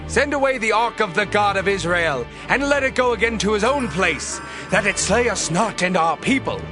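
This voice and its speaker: Man acting high-voiced alarmed ancient townsman. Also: expressive, normal speed, over music. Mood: pleading. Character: alarmed Philistine townsman pleading urgently. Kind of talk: dramatized scripture reading.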